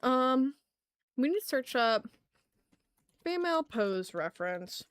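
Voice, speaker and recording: Woman, fairly high voice, casual slangy art stream host, close dry recording. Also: big pitch swings, slow, clear, resonant tone, dry recording. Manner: slangy, informal. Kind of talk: casual livestream chat, talking to viewers. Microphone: sensitive ASMR microphone.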